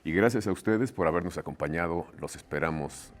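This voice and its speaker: Un hombre mexicano conductor de un programa de televisión, entrevistando con calma y asintiendo brevemente. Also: male voice, deep voice, a little fast, slight background noise. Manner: calm, brief affirming interjections. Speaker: TV show host. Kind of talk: television interview.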